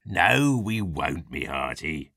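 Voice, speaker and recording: A man's voice: rumbling low, sing-song, takes it slowly, creaky, pushed and bright, husky, no echo, studio quality, exaggerated voice. A man playing a growly, hearty pirate captain in a children's storybook, with a stage West Country pirate accent and a theatrical, salty manner. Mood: reassuring, sly. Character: gruff hearty old-salt pirate captain, a scheming grown man. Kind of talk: acted children's storybook dialogue.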